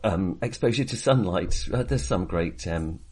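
A man with a fairly low voice discusses films casually as a podcast co-host. His delivery is hesitant and halting, often doubling back on a phrase before moving on. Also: animated, a little mellow, husky.

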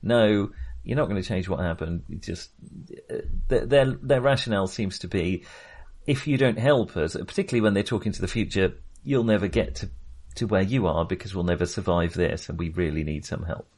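A man with a fairly low voice talks casually about film as a podcast co-host. He rambles, hunting for the right word and cutting across his own sentences. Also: fairly quick, a little mellow. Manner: rambling, searching for words, self-interrupting.

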